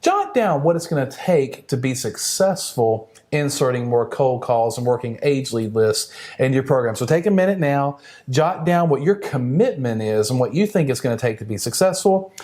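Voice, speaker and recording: A man with a mid-pitched voice leads a team training session as a coach. He talks conversationally and directly to the listener, stressing key points in an encouraging way. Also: lively intonation, normal speed, hardly any pauses, darkish tone. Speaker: sales coach.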